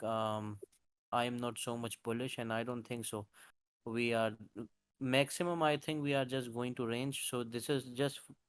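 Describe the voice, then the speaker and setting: A man's voice: mid-range voice, smooth, no room sound. A man casually explaining market charts on a live call, talking hesitantly with many fillers and restarts. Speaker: crypto market analyst presenting charts. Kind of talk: live-streamed chart walkthrough.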